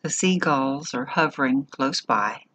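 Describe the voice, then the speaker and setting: A woman leading a guided meditation in short, measured phrases with long pauses between them. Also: very deep voice, expressive, fairly bright tone.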